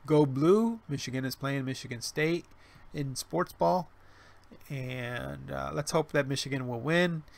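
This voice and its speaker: A man's voice: medium pitch, unhurried, vocal fry. A man in his forties hosting a casual livestream, talking in a rambling way full of restarts and fillers, with wry self-deprecating humor. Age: 40s. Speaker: livestream host. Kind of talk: casual livestream chat reading chat. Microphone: webcam stream mic.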